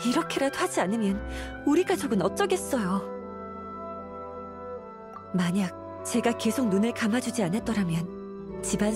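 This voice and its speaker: A woman's voice: medium pitch, natural voice. An actress playing a weary, apologetic wife and mother burdened by debt in a video game, speaking politely and plaintively. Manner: polite, plaintive, earnest storytelling.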